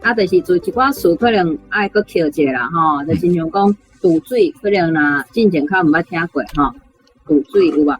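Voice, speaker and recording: A woman's voice: on the low side, normal speed, soft background music, low-quality recording. A woman with a Taiwanese accent explaining word choices as a language teacher, in an informal, conversational way. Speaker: language teacher giving feedback. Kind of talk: explanatory teaching with casual commentary.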